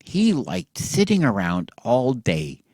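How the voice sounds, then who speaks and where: A man telling a satirical bedtime story as if to children, in a theatrical, mock-earnest way with drawn-out words and hushed drops. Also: medium pitch, wildly swooping pitch, dry recording.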